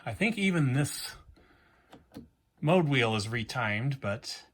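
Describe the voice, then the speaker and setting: A man's voice: mid-range voice, lively intonation, slow pace, a little hiss or hum. A man giving casual repair commentary at the workbench, informal and thinking aloud as he works. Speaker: repair vlogger and host. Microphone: backup microphone, not the usual audio recorder.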